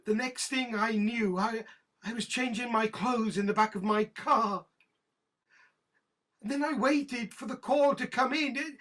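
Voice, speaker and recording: An actor playing a guilt-ridden, disgraced British police detective in a drama, husky and halting, stumbling over repeated words. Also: male voice, sits high, a bit bright, exaggerated voice. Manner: halting, slow, stammering repetitions. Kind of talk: audio drama acting.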